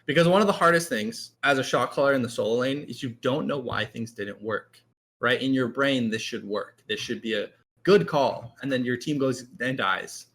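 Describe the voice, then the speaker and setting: Loud guy w a raspy fry and kinda sharp bright tone, rambly casual talk. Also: mid-range voice. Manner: rambling, filler-heavy, quick bursts. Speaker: esports team shot caller, guest. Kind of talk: casual panel chat.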